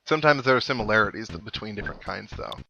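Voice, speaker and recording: A man's voice: on the low side, lively intonation, racing, vocal fry, bright, forceful tone, muffled recording. A man giving casual, wry let's play commentary on a video game, thinking aloud with dry humour. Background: video game music.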